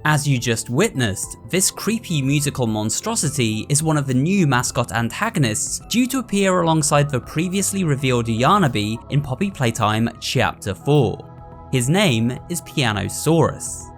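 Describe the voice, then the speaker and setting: A man hosts with scripted narration. His mid-pitched voice moves in a lively way, with soft music behind it. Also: moderate pace. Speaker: video host. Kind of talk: scripted narration, trailer breakdown.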